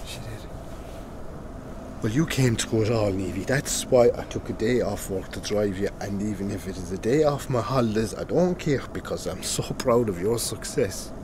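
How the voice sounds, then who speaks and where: An actor playing a proud, emotional middle-aged Irish father in a radio drama, earnest, tender and halting, with car noise around him. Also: on the low side, lightly acted. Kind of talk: naturalistic radio drama dialogue. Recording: loud car interior noise.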